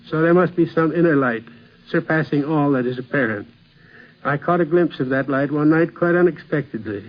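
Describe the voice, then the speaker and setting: A man with a fairly high voice reads a scripted reminiscence. His phrasing is formal and measured, and the old radio recording sounds dull. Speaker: theatre producer giving a reminiscence.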